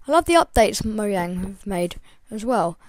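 A young woman with a slightly croaky voice, hosting a casual game tutorial voice-over in a chatty, rambling way with lots of ums. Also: medium pitch, very animated, moderate pace, dry recording. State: croaky, voice breaking. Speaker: gaming channel host.

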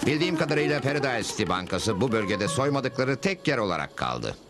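Çizgi film dublajında kibar, sakin ve iğneli bir kovboy kahramanı seslendiren bir erkek oyuncu. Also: male voice, medium pitch, sing-song, quick pace. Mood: dry, skeptical. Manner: polite, dryly ironic. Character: calm, dry-witted cowboy hero, politely skeptical. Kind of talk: Turkish cartoon dub. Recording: loud music under the voice.

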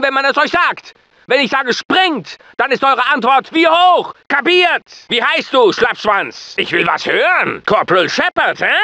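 An actor playing a gruff, bullying military drill sergeant in a video game, barking commands and insults in clipped orders. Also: male voice, extremely high pitch, sharp tone, no echo, heavily acted. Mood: commanding, mocking. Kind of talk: German video game dubbing.

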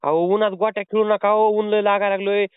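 A man, a fairly high and clear voice, talks quickly. He is posing as a delivery man on a prank call, pleading and insisting, and the line sounds thin and tinny, like a phone call. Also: flat delivery, no echo.

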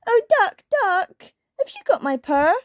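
A woman's voice: extremely high pitch, big pitch swings, unhurried, no echo, lo-fi sound, voice pushed up, heavily acted. A woman voicing a tearful, anxious little kitten from a storybook, with a plaintive, wailing manner.

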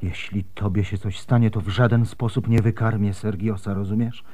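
A man's voice: lowish voice, level tone, normal speed, mellow, close to an everyday voice. An actor playing a worried, protective Byzantine husband and father in a radio drama, speaking earnestly. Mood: anxious, urgent.

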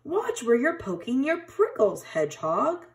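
A woman voicing indignant, prickly woodland animals squabbling in a children's storybook, exclaiming loudly. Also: huge pitch swings, measured pace, exaggerated voice. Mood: indignant yelping. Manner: high, loud exclaiming.

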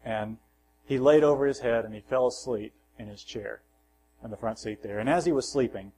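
A man preaching a sermon in a church, at an ordinary middle pitch. He reads scripture with rising force and drops softly at the ends of his phrases. A faint hum sits under the recording. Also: moderate pace, rough recording. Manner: self-deprecating asides and personal anecdotes. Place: church sanctuary.

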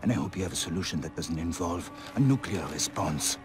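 French medic soldier, tired but principled, kinda low voice, earnest, music under it. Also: male voice, expressive, fairly quick. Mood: earnest, challenging. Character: principled, weary French male military doctor. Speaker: army doctor. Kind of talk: cinematic video game dialogue.

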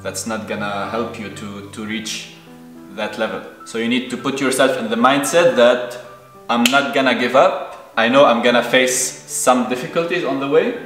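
A man's voice: mid-range voice, fairly even intonation, normal speed, roomy sound, over music. A man in his thirties from Lebanon, a native Arabic speaker, giving thoughtful interview answers with many hesitations. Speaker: guest. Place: indoor room on a sofa.

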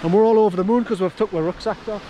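A man's voice: high voice, rapid-fire, mellow. A man with a North East English Geordie accent chatting casually as he vlogs a camping trip outdoors. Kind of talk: casual outdoor vlog chat. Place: outdoors on windy open hills. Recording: wind-buffeted outdoor camera audio.

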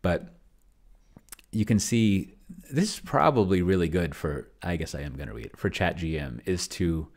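Rambling middle-aged man, low-pitched voice. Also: expressive, moderate pace. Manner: rambling, trailing off mid-thought. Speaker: video host. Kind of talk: unscripted think-aloud screen walkthrough. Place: indoor room at home, desk setup. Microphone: desk microphone close to the mouth.

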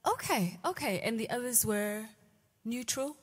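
A woman's voice: on the high side, expressive, measured pace, a little hiss or hum. A woman moderating a panel discussion on stage, asking the audience playful questions into a handheld mic. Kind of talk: moderating a roundtable, polling the audience. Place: large lecture hall stage. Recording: reverberant large hall.

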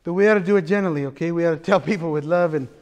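A middle-aged man preaches from the pulpit of a large church. His voice is high for a man, and he talks in an animated, joking way. A long hall reverb trails every phrase. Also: racing. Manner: animated, gesturing preacher with asides and playful humor. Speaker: pastor. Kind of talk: sermon.

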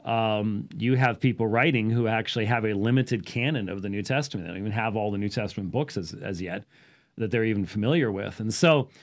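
A man gives an off-the-cuff explanatory talk as a show host, halting at times and leaning on key words. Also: lowish voice. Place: small indoor study with bookshelves. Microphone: studio microphone on boom arm, close.

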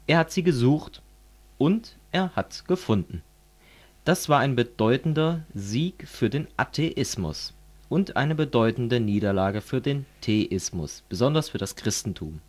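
A middle-aged man from East Germany in a scripted political dialogue, explaining things in a measured way. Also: mid-range voice, animated, darkish tone. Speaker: dialogue partner in a political audio piece.

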